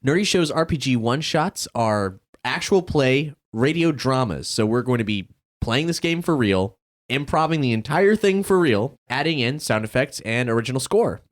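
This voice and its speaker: A man's voice: medium pitch, expressive, moderate pace. A man hosting a podcast in a studio, introducing the show and its players to listeners. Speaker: podcast host.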